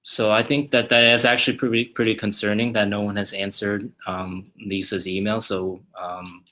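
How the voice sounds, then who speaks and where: A man on company staff answering viewer questions in a casual livestream from the office, halting and full of fillers and restarts. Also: on the low side, level tone, bright, forceful tone. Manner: halting, many fillers and repeated starts. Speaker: company staff member hosting a Q&A.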